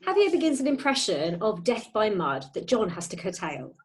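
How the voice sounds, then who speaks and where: A woman's voice: medium pitch, huge pitch swings, normal speed. A woman narrator reading a play's stage directions as dramatic scripted narration. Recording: home internet call.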